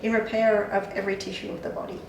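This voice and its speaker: A woman's voice: medium pitch, little pitch movement, fast, a little mellow, slight background noise. A woman explaining vitamins and herbs in a casual chat, with lots of fillers and small laughs. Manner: chatty, explanatory, with frequent fillers and light laughs. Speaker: clinic staff giving supplement advice. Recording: echoey room, mic at a distance.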